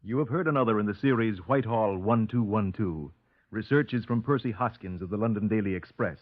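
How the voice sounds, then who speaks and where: A man announcing formally in 1950s radio style, measured and authoritative. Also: on the low side, a little hiss or hum. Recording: tinny, echoey 1950s radio recording.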